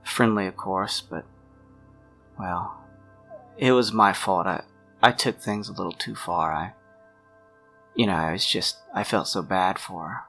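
A man playing an awkward, guilt-ridden young man in a tabletop game, talking haltingly and trailing off. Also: deep voice, fairly bright tone, soft background music, heavily acted. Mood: guilty, regretful.

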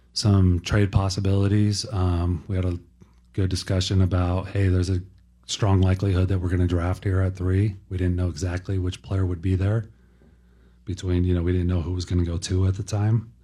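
Low, dark-voiced man, flat and measured. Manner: halting, careful phrasing. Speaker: sports team executive at a press conference. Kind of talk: measured press conference answers.

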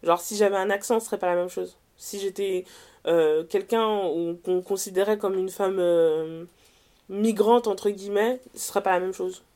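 Young Frenchwoman talking casually, animated and self-correcting. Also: medium pitch, normal speed. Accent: French, from France. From France. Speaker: interview guest, writer and columnist. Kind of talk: casual conversational testimony.

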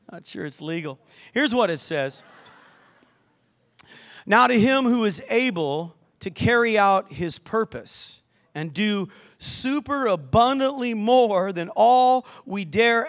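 An older man preaching a lively sermon in church, mixing scripture with jokes and stories. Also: sits high. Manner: lively, joking preacher with asides to the crowd. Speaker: pastor. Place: church hall with balcony.

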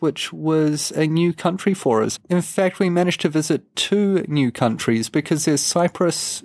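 Croaky man chatting on a travel podcast. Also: fairly high pitch, no echo, clean studio sound. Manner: relaxed, rambling with fillers. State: croaky from a cold. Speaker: podcast co-host. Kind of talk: casual travel chat.

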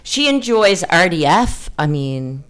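A woman's voice: fairly low pitch, big pitch swings, slow pace, fairly bright tone. A woman hosting a conversational literary podcast, explaining ideas and asking leading questions. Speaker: podcast host.

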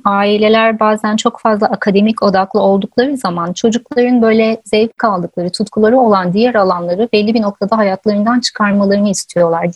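A woman's voice: medium pitch, no echo. A woman interview guest explaining her views conversationally, in an earnest, reflective way with emphatic repetition. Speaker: interview guest, author and researcher.

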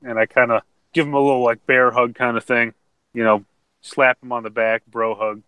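A man's voice: mid-range voice, little pitch movement, moderate pace, sharp tone, clean tone. A man playing a tabletop game, casually describing his character's actions. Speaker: player. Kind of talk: casual chat.

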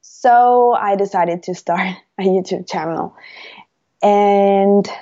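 A young woman from Rome speaks with an Italian accent, slow and unhurried, often pausing on fillers. Her voice is mellow and warm, and the recording sounds a little dull and lo-fi. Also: mid-range voice. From Italy, Rome. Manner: hesitant, many fillers. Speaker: language teacher, podcast guest. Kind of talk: interview answers.

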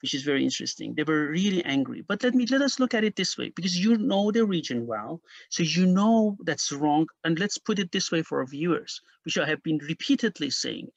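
A man's voice: fairly quick, creaky, hardly any pauses, dry recording, lo-fi sound. A middle-aged man, a native Persian speaker from Iran, explaining history at length in an interview with emphatic, restarting speech. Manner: emphatic, restarts often, animated pitch jumps. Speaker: historian guest.

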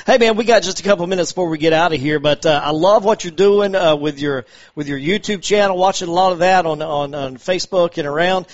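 Upbeat radio host. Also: male voice, fairly high pitch, quick pace, muffled recording. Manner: upbeat, easygoing. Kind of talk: casual sports radio chat.

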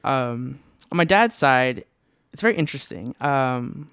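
A man's voice: mid-range voice, lively intonation, unhurried, gravelly, a bit bright, clear, resonant tone. A man in his twenties from Southern California, a podcast guest telling his family story in an easygoing way, with many ums and frequent laughs. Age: 20s. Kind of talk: casual conversational interview.

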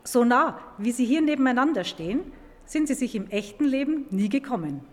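A woman explaining science to a young listener in a clear, didactic way, stressing key words by repeating them. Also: a little high, lively intonation, fairly quick, a little mellow. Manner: clear, didactic, emphatic repetition. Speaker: museum paleontologist. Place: large echoing indoor museum hall. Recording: reverberant hall with background noise.

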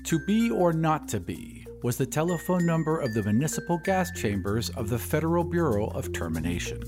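A man reading fiction as a podcast narrator, in an expressive, scripted storytelling style. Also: a little high, fairly quick, loud music behind the voice.

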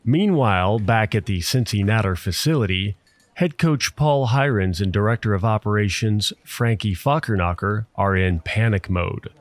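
A man's voice: lowish voice, moderate pace, no room sound. A man narrating a scripted audio drama in a mock-serious, dramatic manner.

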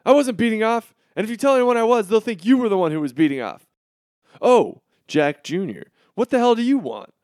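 A man's voice: sits high, very animated, fairly quick, darkish tone, no room sound, studio quality. A man playing a pampered, crude teenage rich boy in a comedic audio drama, with a swaggering, melodramatic delivery. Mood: flustered, indignant.

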